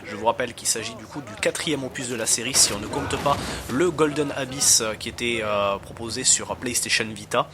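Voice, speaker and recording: A man giving casual, halting commentary as he plays and reviews a game. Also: medium pitch, noisy background. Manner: hesitant, halting.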